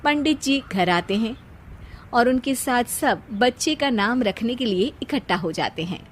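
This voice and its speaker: High-voiced woman narrating a story. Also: expressive, unhurried, slight background noise. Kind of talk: scripted cartoon story narration.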